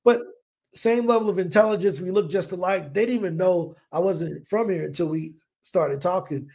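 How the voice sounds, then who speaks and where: An energetic man in his forties from the United States, telling his business story on a podcast. His voice sits slightly high for a man and has a gravelly edge. He speaks casually and acts out what he was thinking at the time. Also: normal speed. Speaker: podcast guest, business owner. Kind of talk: casual interview talk. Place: home in Colombia.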